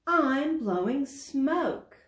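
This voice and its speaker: Woman as a little boy dragon, storybook show-off, excitable, lively swings in pitch, unhurried, echoey room. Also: heavily acted. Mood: amazed, excited. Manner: boastful, exclaiming. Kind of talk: children's storybook read-aloud.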